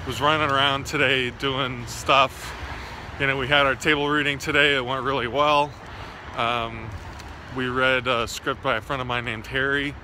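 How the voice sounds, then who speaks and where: A man's voice: mid-range voice, background noise. A man in his thirties recording a casual video diary on a phone held at arm's length. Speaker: vlogger. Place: outdoors on city residential streets.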